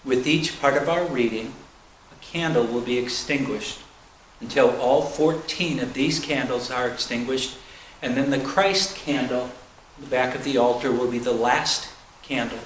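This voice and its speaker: A man's voice: mid-range voice, moderate pace. A man reading scripture aloud in a solemn style at a church service. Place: large indoor church space. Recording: echoey room with faint noise.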